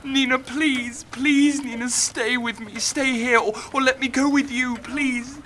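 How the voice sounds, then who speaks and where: A man's voice: very high-pitched, fairly even intonation, unhurried, pushed and bright, slight background noise, heavily acted. A young man playing an anguished, lovesick young writer in a naturalistic audio drama, pleading and emotional as he repeats himself. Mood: desperate pleading. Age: young adult.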